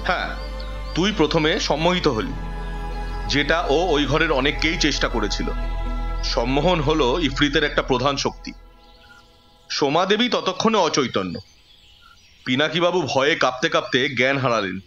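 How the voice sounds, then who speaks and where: A man's voice: fairly high pitch, lively intonation, moderate pace, long pauses, pushed and bright. A man acting as a confident occult expert in an audio drama, explaining things like a storyteller. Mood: explanatory. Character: confident male occult expert recounting a battle with a djinn. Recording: muffled audio under prominent music.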